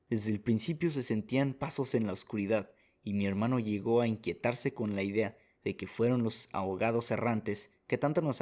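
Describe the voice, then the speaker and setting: A man's voice: lowish voice, darkish tone. A man reading scripted first-person story narration as the narrator of a radio drama.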